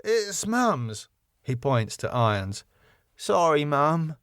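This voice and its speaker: A man's voice: a little high, big pitch swings, slow pace, fairly bright tone, clean tone, dry recording, clean studio sound, pitched above the performer's own voice, exaggerated voice. A man voicing a naive, eager young son who blurts and apologises in a sitcom reading. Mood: apologetic.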